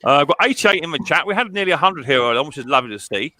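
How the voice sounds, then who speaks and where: A man's voice: fairly high pitch, lively intonation, racing, bright, forceful tone, slight background noise. An English man hosting a casual live-stream video chat, joking and teasing his guests while tripping over his own restarts. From England.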